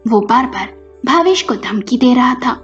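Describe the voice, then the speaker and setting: A woman's voice: fairly high pitch, animated, a little slow. A woman storyteller reading a scripted family story and acting out its dialogue. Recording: muffled recording with music behind.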